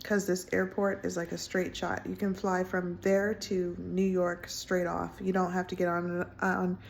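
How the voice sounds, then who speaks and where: A woman in her thirties talking in a casual personal vlog from home, soft-spoken and rambling with many fillers. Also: lowish voice, monotone, measured pace, vocal fry, slight background noise. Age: 30s. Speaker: vlogger. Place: indoors at home, window closed.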